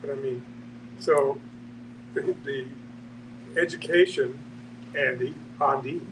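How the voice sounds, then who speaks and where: A man in an informal group discussion, talking haltingly, often rephrasing himself and acting out questions. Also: medium pitch, fairly even intonation. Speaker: discussion participant. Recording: distant mic with noisy hum.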